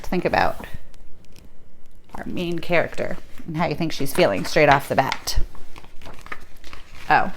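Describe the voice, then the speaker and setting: A woman's voice: deep voice, a little hiss or hum. A woman hosting a read-aloud for young listeners, speaking expressively and directly to them.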